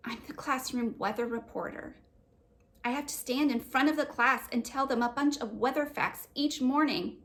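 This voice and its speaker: Woman doing a quiet worried little schoolgirl for a kids book, kinda mumbly and earnest. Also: high voice, moderate pace. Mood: anxious, tearful. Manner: subdued, mumbling, explaining earnestly. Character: young schoolgirl Julie, anxious, quiet, bookish about weather. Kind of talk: children's chapter book read-aloud.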